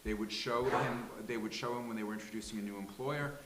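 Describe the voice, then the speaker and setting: A man on a casual live panel, asking questions in a halting, polite, inquisitive way. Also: medium pitch, fairly even intonation. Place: live venue with a small studio audience. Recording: echoey room with hiss.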